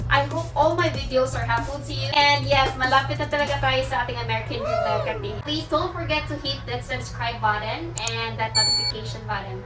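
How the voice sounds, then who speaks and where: A woman's voice: high-pitched, quick pace, few pauses, poor audio. A woman nurse with a Filipino accent, narrating a chatty, upbeat vlog with practical tips and switching languages mid-sentence. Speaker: nurse and vlogger. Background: background music.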